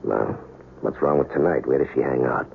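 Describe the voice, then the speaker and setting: An actor playing a wary, shrewd small-time crook on the run, terse and guarded, in an old-time radio crime drama. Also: male voice, rumbling low, steady tone, moderate pace, creaky. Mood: wry, decisive. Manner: terse, guarded, tough-talking. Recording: muffled, hissy old radio recording.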